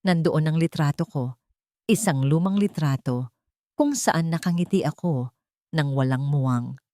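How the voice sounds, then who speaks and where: A woman's voice: sits low, a little slow, warm tone, no echo, clean studio sound. A woman reading a scripted, dramatic first-person story as an audio narrator.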